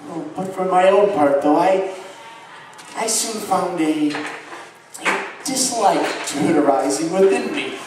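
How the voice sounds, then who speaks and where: Man performing an unhinged confessing murderer, highish male voice, halting theatrical delivery, gothic horror stage reading, heavy echo. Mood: uneasy, recollecting. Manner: halting, theatrical, swinging from hushed to agitated. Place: live show at an amusement park, far from microphone. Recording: very echoey, distant live recording, faint noise.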